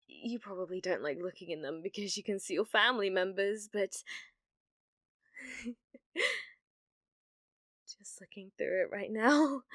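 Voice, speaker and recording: A British woman playing an obsessive, flustered young witch in an ASMR roleplay, stammering shyly with a breathy voice that often sinks toward a whisper. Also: medium pitch, fairly bright tone, no room sound, studio quality. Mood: excited, flustered. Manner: hesitant, stammering, nervous giggling. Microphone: close microphone, dry sound.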